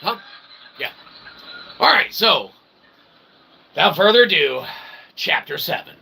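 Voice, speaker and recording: A man's voice: sits high, sing-song, takes it slowly, sharp tone. A man hosting a livestream, giving a rambling philosophical monologue in wordy, convoluted phrasing with frequent tag questions. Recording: clear background noise.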